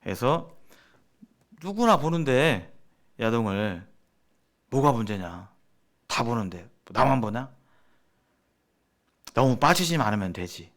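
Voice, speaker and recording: A man's voice: medium pitch, big pitch swings, takes it slowly, pauses often, fairly bright tone. A man giving a sermon-like seminar lecture as a preacher, speaking emphatically and repeating phrases for stress. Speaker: preacher leading a church seminar.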